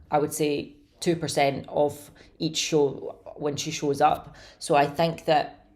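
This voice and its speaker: Woman, low voice, gravelly fry, raspy, slightly hoarse, casual enthusiastic chat. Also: darkish tone. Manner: chatty, enthusiastic, many fillers. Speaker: bodybuilding commentator. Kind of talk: casual prediction talk to camera.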